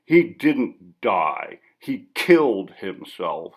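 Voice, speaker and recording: A man's voice: fairly low pitch, sing-song, extremely slow, heavily acted. A man voicing a bitter, defensive sculptor in an audiobook story, curt and profane under questioning. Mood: hostile. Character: bitter, hostile injured male sculptor being interviewed.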